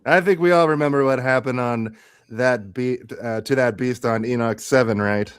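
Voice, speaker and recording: A man's voice: mid-range voice, moderate pace, clear, resonant tone, dry recording, natural voice. A man playing a vain, theatrical actor known as a sci-fi starship commander, speaking dramatically in a live radio-theatre script read. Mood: showy. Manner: dramatic, grandiose.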